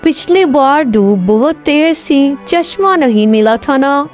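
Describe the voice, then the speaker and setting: Slow, high-voiced woman storyteller. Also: lively intonation, a little mellow, faint music behind. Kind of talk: scripted children's story narration.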